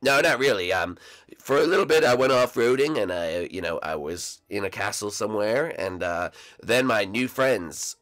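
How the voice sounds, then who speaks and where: A man's voice: on the low side, expressive, normal speed, fairly bright tone. A man voicing a laid-back young male koopa who talks like a mumbly slacker. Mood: chill.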